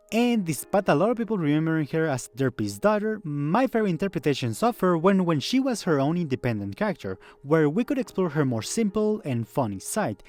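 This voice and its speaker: Higher-pitched man narrating with lively intonation. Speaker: video essay narrator. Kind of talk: scripted narration. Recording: background music under voice.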